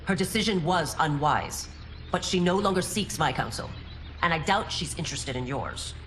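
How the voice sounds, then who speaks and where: An actress playing a proud former royal guard turned constable chief in a game cutscene, speaking formally and earnestly with firm resolve. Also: female voice, low-pitched, lively intonation, normal speed, fairly bright tone, a little hiss or hum, rough recording. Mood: guarded, skeptical. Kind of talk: dramatic video game cutscene acting. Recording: orchestral game music behind.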